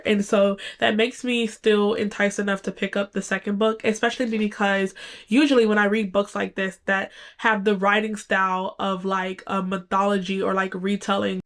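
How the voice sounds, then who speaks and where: A young woman talks through her thoughts on books in a relaxed, meandering way, peppering her sentences with fillers. She sounds a little stuffy as she gets over a cold. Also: mid-range voice. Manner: chatty, lots of "like" and "um" fillers. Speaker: book vlogger. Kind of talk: casual book review chat.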